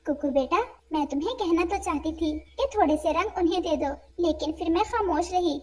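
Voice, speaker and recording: A woman voicing a gentle, wise mother sparrow in a cartoon, speaking in a soothing, explanatory way. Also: very high voice, fairly quick.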